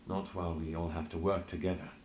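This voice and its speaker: An actor playing a measured, earnest male investigator in a video game, formal and tactful. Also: low voice, little pitch movement, a little mellow, a little hiss or hum, close to an everyday voice. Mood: concerned, careful. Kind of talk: dramatic video game voice acting.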